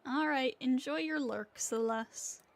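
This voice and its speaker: A woman's voice: sits high, slow, vocal fry, slight background noise. A woman livestreamer giving casual commentary as she plays a game.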